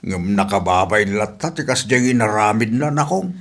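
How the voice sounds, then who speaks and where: A man's voice: fairly low pitch, lively intonation, normal speed. A man with an Ilocano Filipino accent playing a stern father scolding his wayward daughter in a radio drama. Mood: scolding, ashamed.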